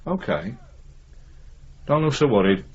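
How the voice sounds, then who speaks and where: Brisk british cop, dry and a bit husky, old radio play, muffled sound. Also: male voice, mid-range voice, sing-song. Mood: probing, businesslike. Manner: dry, businesslike questioning. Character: brisk, sardonic male police detective. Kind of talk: naturalistic 1970s British radio drama acting.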